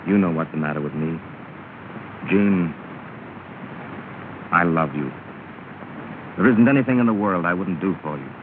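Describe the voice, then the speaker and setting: An actor playing a jealous, sulky well-off suitor in a 1930s film, with a clipped, haughty manner. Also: male voice, low voice, measured pace, long pauses, narrow, tinny audio. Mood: lovesick, sulky. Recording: scratchy, thin, noisy 1930 film soundtrack.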